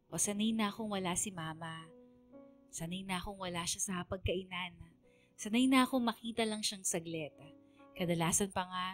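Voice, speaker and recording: A woman's voice: mid-range voice, fairly bright tone, lightly acted. A woman playing a lonely, wistful female student, narrating a radio drama letter in a plaintive, confiding way. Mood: resigned, sad.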